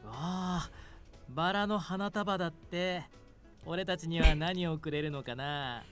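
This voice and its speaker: A man's voice: on the high side, moderate pace, a bit bright, close to an everyday voice. An actor playing a polite, teasing man in a Japanese visual novel. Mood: playful, teasing. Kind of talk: Japanese game voice acting. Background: game music.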